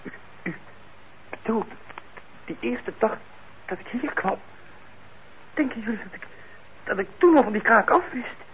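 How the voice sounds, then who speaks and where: A troubled, defensive young man in a radio play. His voice sits a little high and he speaks haltingly, stumbling over words when upset. The old recording is dull, with some background noise. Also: expressive, a bit bright. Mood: indignant, agitated. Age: young adult. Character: troubled young male parolee, defensive and hesitant. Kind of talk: radio drama acting.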